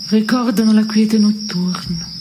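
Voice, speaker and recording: An actress playing a dry, disillusioned woman in a radio theatre piece, giving terse, deadpan replies. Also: medium pitch, a little slow, noisy background, lightly acted. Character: dry, disillusioned woman answering her melancholy companion. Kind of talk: avant-garde radio theatre dialogue.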